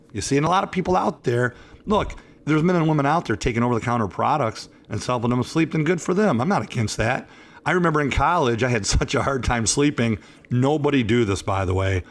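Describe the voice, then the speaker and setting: A hoarse man in his fifties, an attorney hosting a talk show, chatting in a rambling, emphatic and self-assured way. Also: mid-range voice. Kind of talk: casual radio talk show chat.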